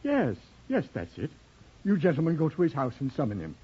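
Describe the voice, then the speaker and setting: An American actor playing a formal, anxious, injured college dean in 1940s radio style. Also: male voice, on the high side, huge pitch swings, fairly quick, breathy edge, heavily acted. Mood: anxious, insistent. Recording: muffled old radio recording.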